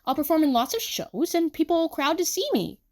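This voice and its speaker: A woman voicing a brash, loud teenage boy chasing stardom, with a boastful, shouty manner. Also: high-pitched, animated, fairly quick, pitched above the performer's own voice. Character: brash loud teen boy aspiring star.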